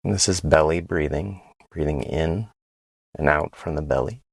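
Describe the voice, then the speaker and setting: A man leading a guided body scan meditation in a gentle, unhurried way, pausing between phrases. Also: rumbling low, creaky, husky, dry recording.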